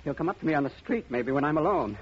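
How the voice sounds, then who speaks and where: A man's voice: fairly high pitch, expressive, racing, natural voice. An actor playing a frightened man urgently begging for help in a 1950s radio drama. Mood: terrified, desperate. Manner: urgent, pleading, breathless phrasing. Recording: muffled, noisy old radio recording.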